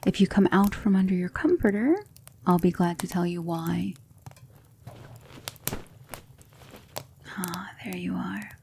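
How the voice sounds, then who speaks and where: A woman playing a gentle, patient demon mentor in an ASMR roleplay, speaking softly and often in a whisper, soothing and reassuring. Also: lowish voice, moderate pace, creaky, slight background noise.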